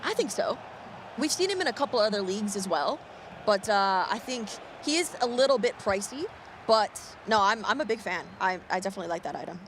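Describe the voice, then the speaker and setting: A woman's voice: mid-range voice, animated, a little fast, slight background noise. Upbeat woman commentating esports. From United States. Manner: fluent, upbeat, reads chat questions. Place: event venue.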